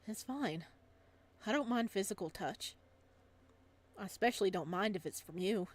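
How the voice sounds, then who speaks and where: A woman voicing a gentle, composed fisherman in a visual novel, speaking in a calm, reassuring way. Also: mid-range voice, normal speed, natural voice. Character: gentle, composed fisherman, fond of his admirer. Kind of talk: visual novel dialogue read aloud.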